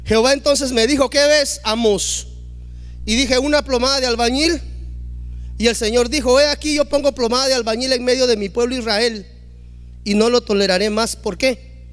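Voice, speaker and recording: Pastor guy preaching hard, really high voice, builds up loud. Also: fairly even intonation, moderate pace. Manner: emphatic, rising to loud exclamations, acting out quoted dialogue. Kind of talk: preaching a sermon.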